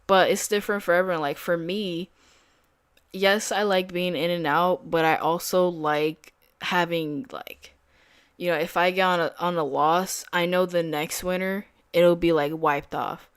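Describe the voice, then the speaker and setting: A woman's voice: lowish voice, steady tone, measured pace, smooth, no echo. A young woman chatting casually with viewers while trading live, full of fillers and slang. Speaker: day trader streaming live. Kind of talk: casual live-stream chat.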